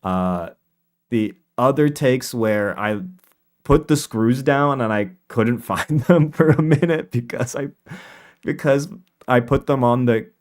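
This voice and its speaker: A man live streaming in casual, informal chat, repeating himself excitably and swearing now and then. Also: medium pitch, takes it slowly. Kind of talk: casual livestream chat, commenting on own video. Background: quiet lo-fi music.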